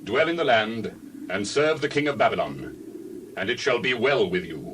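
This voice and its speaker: A man playing a reassuring governor of ancient Judah in a dramatized scripture reading, speaking in a measured, declamatory way. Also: mid-range voice, lively intonation, a bit bright, a little hiss or hum, lightly acted, poor audio. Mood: solemn, reassuring.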